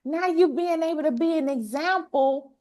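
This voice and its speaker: Lady podcast host w a high voice, chatty pep talk, animated, repeats stuff to make the point. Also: moderate pace. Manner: animated, repeats phrases for emphasis, acts out quotes. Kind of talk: casual motivational conversation.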